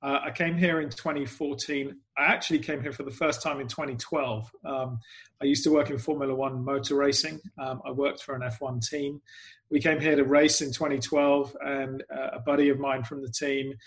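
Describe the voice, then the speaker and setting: A man's voice: medium pitch, level tone, normal speed, husky. An English man from the UK, chatting casually as an interview guest, self-deprecating and full of "um"s. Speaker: guest, club PR executive.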